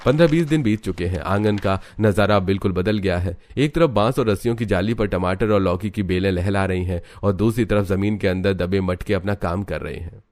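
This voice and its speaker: A man's voice: low voice, fairly quick, few pauses, darkish tone. A man reading scripted narration for a cartoon story in the present tense.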